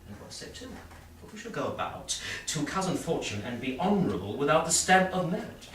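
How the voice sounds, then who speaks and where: An actor playing a pompous, self-satisfied prince in a Shakespearean staged reading, declaiming with deliberate dramatic pauses. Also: male voice, on the high side, big pitch swings. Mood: haughty, deliberating. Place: live staged reading in a reverberant room. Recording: echoey room from far off, faint noise.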